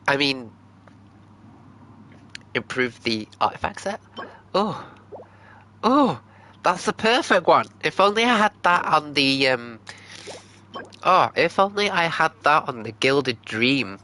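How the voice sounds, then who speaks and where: A man's voice: fairly high pitch, sing-song, slow, long pauses, sharp tone. A man streaming a video game, giving casual commentary and murmuring reactions to himself.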